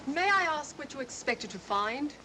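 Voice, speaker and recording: A woman's voice: very animated, normal speed, pushed and bright, heavily acted. A woman acting a haughty young lady traveller, formal and coolly indignant, in a vintage mystery film. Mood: coolly affronted. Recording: vintage film soundtrack, noisy rumble.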